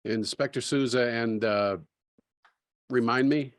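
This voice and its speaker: A man's voice: medium pitch, fairly even intonation, slow pace, natural voice. An actor playing a brusque, weary old-school police superintendent in his fifties, dry and impatient.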